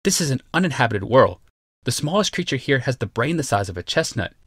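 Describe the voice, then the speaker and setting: A man's voice: mid-range voice, very animated, a little fast, a bit bright, slightly rough, dry recording, clean studio sound, voice pushed up, heavily acted. A male narrator voicing a smug, teasing woman who walks between worlds. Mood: proud. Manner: teasing, matter-of-fact. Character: smug, sly female otherworldly traveler.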